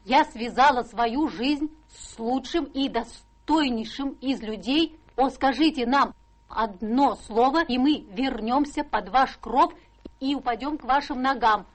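An actress playing a timid, flustered, sentimental servant-companion in radio-drama style, husky and breathy, with a hesitant, tremulous manner. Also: female voice, high voice, unhurried. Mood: solemn, tearful. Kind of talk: stage-trained radio drama acting.

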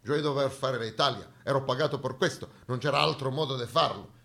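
A man's voice: mid-range voice, brisk, vocal fry, fairly bright tone. Grumbling, grandiose old general, played by a male stage actor, thick Genoese accent with a Spanish tinge, theatre monologue. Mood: blunt. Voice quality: thick foreign-tinged Genoese-style speech.

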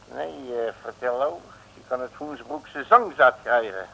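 An actor playing a grumbling, streetwise detective with slangy curses in an old comic radio play. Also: male voice, mid-range voice, very animated, unhurried, creaky, fairly bright tone, husky, full character voice. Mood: scornful. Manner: slangy, colourful cursing. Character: blustering, grumbling, streetwise Rotterdam private detective. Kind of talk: 1950s-60s comic radio play acting. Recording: noisy old radio recording.

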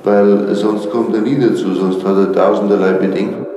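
A man offers his opinion in unscripted talk. His low, dark-toned voice rings in very heavy echo, with faint music behind it. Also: fairly even intonation, normal speed, a little hiss or hum. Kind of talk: spontaneous opinion in a radio collage. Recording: very echoey, long reverb, faint music.

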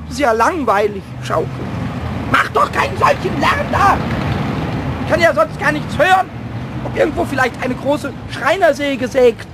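Cheeky invisible kobold: child-like creaky squeak, excitable, very animated, children's radio play with background noise. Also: male voice, heavily acted. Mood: excited scheming. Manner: excitable, stammering, giggly grumbling. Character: cheeky, defiant little invisible male kobold. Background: street traffic noise.

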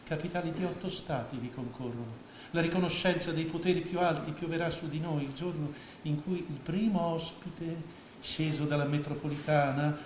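A man's voice: a little high, steady tone, normal speed, natural voice. An actor playing a scheming middle-aged stepfather and financier, sarcastic and grandiose, in a theatrical oratorical delivery. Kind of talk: staged radio drama acting in Italian. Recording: echoey stage recording with faint noise.

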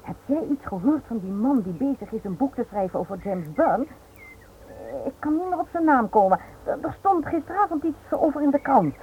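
A woman's voice: sits high, lively intonation, a little fast, phone-quality sound. A woman playing a well-bred, teasing, chatty wife in a radio drama. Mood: chatty, curious. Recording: thin, noisy old radio recording.

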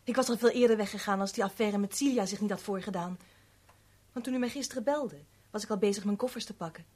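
Actress as husky, guarded young woman. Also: mid-range voice, quick pace, a little mellow, lightly acted. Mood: resolute. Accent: Netherlands Dutch. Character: wealthy man's stepdaughter, guarded, troubled, quietly determined young woman. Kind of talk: 1970s Dutch radio play acting.

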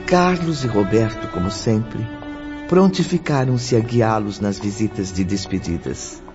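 A man's voice: fairly low pitch, unhurried. A man delivering scripted narration for a radio drama. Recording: muffled audio under music.

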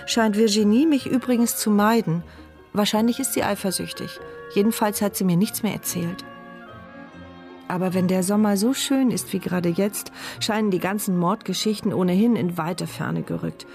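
An actress playing a paralysed, observant young woman who narrates her inner thoughts in a measured, articulate radio drama monologue. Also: mid-range voice, darkish tone, soft background music, lightly acted. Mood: musing.

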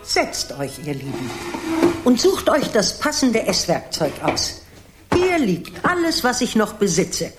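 An actress playing a doting old grandmother in a children's radio play, fond and gently ironic. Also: mid-range voice, sing-song, a little slow, over music. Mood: sly. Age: older.

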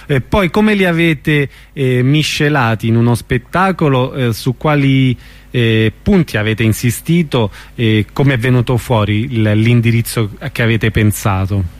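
Male radio interviewer, average pitch, conversational questioning, slightly searching phrasing, murmurs along as he listens. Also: a little slow.

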